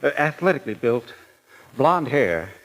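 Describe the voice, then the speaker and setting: A man playing a jittery, flustered bank robbery witness in an old-time radio drama, agitated and halting. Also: medium pitch, big pitch swings, a bit bright, low-quality recording. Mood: shaken.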